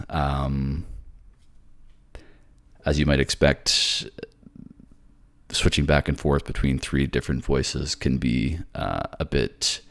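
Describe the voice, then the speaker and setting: A man working as a voice actor and narrator, in an ASMR storytelling style. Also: extremely low pitch. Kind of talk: soft narration and casual sign-off.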